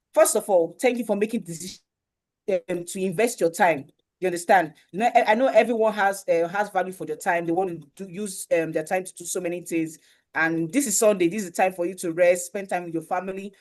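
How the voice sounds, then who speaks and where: A woman's voice: on the low side, a little mellow. A woman hosting an online webinar over a video call, welcoming attendees and often asking "you understand?". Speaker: webinar host.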